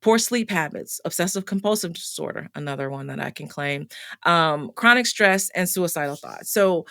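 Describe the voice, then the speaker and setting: A woman's voice: lowish voice, lively intonation, moderate pace, vocal fry, pushed and bright, no room sound, clean studio sound. A middle-aged woman hosting a solo podcast, talking candidly with many fillers and restarts, her voice starting to tire. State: voice getting tired. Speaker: podcast host.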